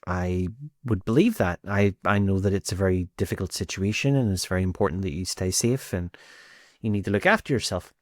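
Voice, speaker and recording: A man's voice: low-pitched, brisk, no echo, clean studio sound. A man with a Northern Irish accent telling a personal story casually, in a conversational way, acting out bits of dialogue. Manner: conversational, acts out quoted dialogue.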